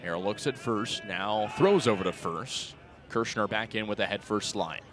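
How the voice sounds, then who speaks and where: A man in his twenties calling baseball play-by-play for radio in crisp, clipped phrases that lift on the action. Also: lowish voice, moderate pace, fairly bright tone. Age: 20s. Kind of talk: live radio sports commentary. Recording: outdoor ballpark crowd behind voice. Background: ballpark crowd.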